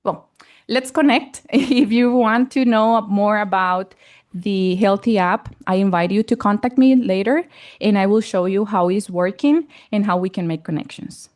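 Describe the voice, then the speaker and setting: A woman whose first language is Spanish pitches her startup to an audience, speaking at an ordinary middle pitch. She pauses often and hunts for words as she talks. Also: darkish tone. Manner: hesitant, searching for words. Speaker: startup presenter. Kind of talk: pitch presentation. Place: event hall.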